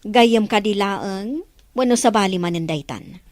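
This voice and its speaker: A woman's voice: medium pitch, a little slow, no room sound, close to an everyday voice. A woman with a Filipino accent acting a worried, reproachful mother in an audio drama. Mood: worried, scolding. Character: reproachful, worried mother questioning her child. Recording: music under the voice.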